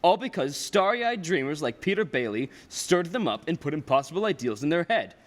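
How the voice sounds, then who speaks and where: A man's voice: fairly high pitch, big pitch swings, brisk. A young man playing a cold, scheming, pompous old rich businessman in a radio play. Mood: scornful, condescending. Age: young adult. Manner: pompous, condescending. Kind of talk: filmed radio play acting. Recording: echoey hall with long reverb.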